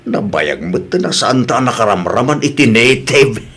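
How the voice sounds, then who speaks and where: A man's voice: mid-range voice, big pitch swings, a little slow, fairly bright tone. A man with an Ilocano accent playing an easygoing rural father-in-law in an audio drama, chatting in a folksy way. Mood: cheerful, scheming.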